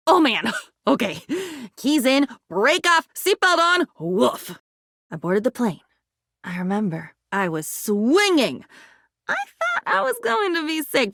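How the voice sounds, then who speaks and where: A female living book from a video game, excitable and full of self-questioning. The voice is high and leaps around widely in pitch. The delivery is theatrical and rambling, recorded very dry. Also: dry recording, full character voice. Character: living diary book, female, excitable, scattered, anxious musing. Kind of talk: video game character acting.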